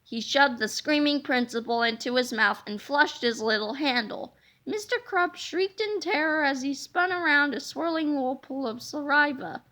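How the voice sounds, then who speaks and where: A woman storyteller reading a children's book aloud in an expressive, dramatic way. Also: high-pitched, normal speed, few pauses.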